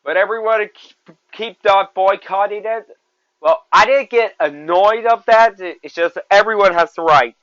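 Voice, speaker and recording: Young man in his twenties, podcast host; high, bright voice; rambles and repeats himself; dry, muffled lo-fi recording. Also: no room sound. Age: 20s. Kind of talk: solo movie review podcast.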